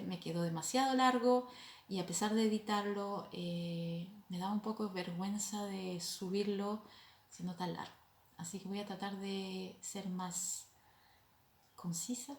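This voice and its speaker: A middle-aged Chilean woman hosting a knitting podcast, chatting casually, hesitating often and laughing softly at herself. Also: mid-range voice, roomy sound. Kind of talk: casual chat.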